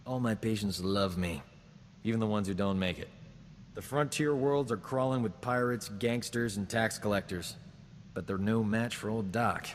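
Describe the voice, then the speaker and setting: An actor playing a boastful, smooth-talking combat medic in a video game, joking and flattering himself. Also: male voice, fairly low pitch, moderate pace, big-room sound, slight background noise, lightly acted. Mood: cocky. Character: cocky boastful man, a frontier combat medic. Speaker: companion character in a video game. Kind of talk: video game character dialogue.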